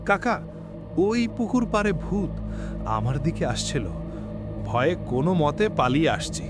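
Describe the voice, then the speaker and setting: Man as a high-pitched, terrified young villager. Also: sing-song, unhurried, music in the background. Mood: shaken. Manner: panicky, pleading. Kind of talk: cartoon horror story voice acting.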